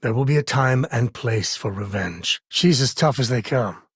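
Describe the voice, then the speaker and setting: An actor playing a rugged, folksy space-frontier ranger and devoted father in a video game, with a husky voice and an easygoing, sincere way of talking. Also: medium pitch, animated, normal speed, no echo, clean studio sound, heavily acted. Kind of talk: acted game companion dialogue.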